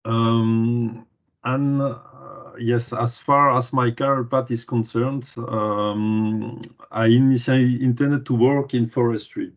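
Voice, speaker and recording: A man's voice: medium pitch, steady tone, slow pace, highs cut off. A native French speaker in his forties from Belgium, a man with a Belgian French accent, presenting informally and hesitantly. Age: 40s. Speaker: guest presenter at a career webinar. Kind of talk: informal self-introduction presentation.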